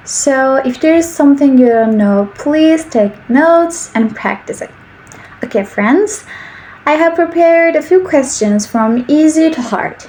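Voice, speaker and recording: A woman in her twenties from Turkey with a Turkish accent, speaking English slowly and carefully as a channel host giving speaking practice. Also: high voice, darkish tone, a little hiss or hum, rough recording. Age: 20s. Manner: slow, careful speech with simple sentences. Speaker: English teacher. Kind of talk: slow English practice monologue.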